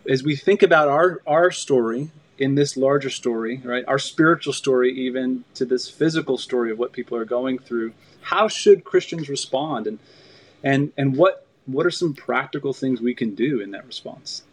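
A man's voice: medium pitch, moderate pace. A man co-hosting a podcast, speaking in a reflective, affirming way. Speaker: podcast co-host. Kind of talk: casual conversation.

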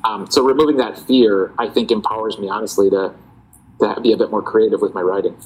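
Low-voiced relaxed man. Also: brisk, slight background noise, low-quality recording. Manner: relaxed, reflective, many fillers and restarts. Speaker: guest, parody account writer. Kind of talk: casual interview talk.

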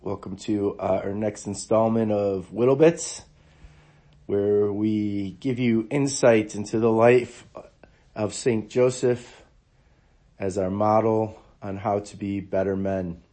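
A hoarse man, under the weather, hosting a devotional podcast and reading quotes in an earnest, measured way. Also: fairly low pitch, fairly even intonation, dark tone. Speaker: podcast host.

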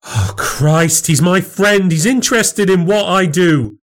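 A man's voice: mid-range voice, fairly even intonation, measured pace, natural voice. A man voicing an indignant, sincere wife in audiobook dialogue, exasperated and defensive.

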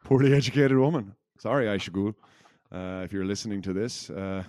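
A man with a very low voice co-hosts a casual podcast. He speaks in a loose, rambling flow, often poking fun at himself. The sound is close and dry, with hardly any room in it. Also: fairly quick, darkish tone, no room sound. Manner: rambling, jokey, self-deprecating. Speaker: podcast co-host. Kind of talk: casual unscripted podcast chat.

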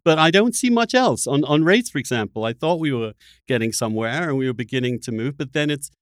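A man talks politics casually as a podcast host, recorded dry and close. His delivery is halting, often searching for the next word, with a skeptical slant. His voice sits in a middle register. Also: medium pitch, expressive, dry recording.

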